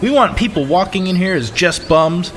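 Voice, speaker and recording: Man acting a high-pitched, shifty huckster. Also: lively intonation, moderate pace, a bit bright, a little hiss or hum. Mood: glib. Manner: hesitant, evasive salesman patter. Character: shifty, overeager male charity huckster bluffing an inspector. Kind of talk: sketch comedy audio drama.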